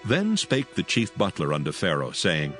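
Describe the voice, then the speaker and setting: A man's voice: deep voice, huge pitch swings, normal speed, a bit bright. A man narrating scripture as a solemn storyteller in a dramatized audio style. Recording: music behind the voice.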